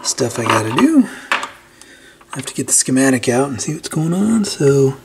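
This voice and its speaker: A man's voice: mid-range voice, moderate pace. A man giving a casual hands-on repair walkthrough, informally thinking aloud as he works. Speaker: host of a repair channel. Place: indoors at a cluttered workbench. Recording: steady clear background noise with clicks.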